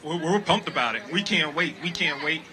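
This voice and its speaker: A man's voice: fairly high pitch, animated, a little fast, pushed and bright. A man interviewing a musician at a festival, affirming and encouraging as he talks. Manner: affirming, short agreeing replies. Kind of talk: casual outdoor festival interview.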